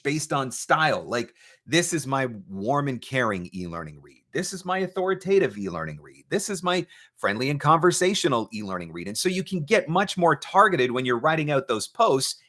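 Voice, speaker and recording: A man's voice: mid-range voice, sing-song, moderate pace, bright, forceful tone. A man hosting a live question-and-answer broadcast, giving conversational, instructive advice with emphatic repetition of key points. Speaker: host, voice-over marketing coach.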